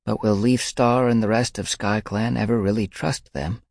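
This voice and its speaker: A man voicing a regretful clan cat, murmuring in a gravelly, lowish voice with a dry, close sound. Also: steady tone, moderate pace, darkish tone, dry recording.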